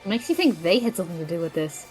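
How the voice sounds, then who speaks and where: A woman's voice: lowish voice, sing-song, racing, a little mellow, faint music behind, rough recording. A woman playing a tech-minded, sarcastic female sidekick who teases dryly, in an audio drama. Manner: dry, sarcastic, teasing.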